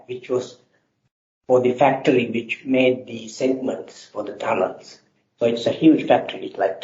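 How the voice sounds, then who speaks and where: A middle-aged man from Sri Lanka, answering interview questions in a halting way with many filler sounds. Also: mid-range voice, warm tone. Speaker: guest engineer. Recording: roomy, slightly dull sound.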